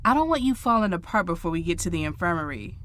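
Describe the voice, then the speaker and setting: A woman playing a brisk, no-nonsense ship's doctor in a science fiction audio drama, matter-of-fact and firm. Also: on the low side, sing-song, a bit bright.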